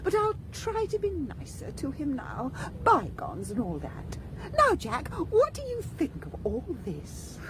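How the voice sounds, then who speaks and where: Dead wife's ghost, radio play, haughty, nagging, extremely high and swooping, noisy ship ambience. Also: female voice, wildly swooping pitch, unhurried, hardly any pauses, full character voice. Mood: smug, confiding. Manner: shrill, theatrical, matter-of-fact. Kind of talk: radio drama acting.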